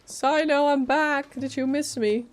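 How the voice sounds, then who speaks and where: A woman's voice: high-pitched, steady tone, a little slow, soft background music. A woman doing casual live gameplay commentary, informal, playful and reactive as a streamer.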